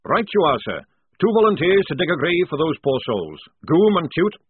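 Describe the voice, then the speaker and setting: A man reading an audiobook, voicing a gruff, shrewd old army sergeant who barks orders. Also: a little high, sing-song, normal speed, a little hiss or hum, voice pushed up. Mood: commanding. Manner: barking orders. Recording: dry, tinny phone-like sound.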